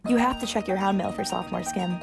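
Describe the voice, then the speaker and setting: Young woman announcer, fast clear delivery, music underneath. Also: mid-range voice. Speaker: student announcer. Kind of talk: quick announcement.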